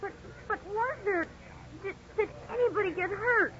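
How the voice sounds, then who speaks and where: A woman's voice: very high voice, moderate pace, a bit bright. A woman playing an anxious, earnest young son in a 1950s radio drama, speaking hesitantly. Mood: dazed, frightened. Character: Vicky, anxious young son in trouble after a crash. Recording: dull, noisy old radio recording.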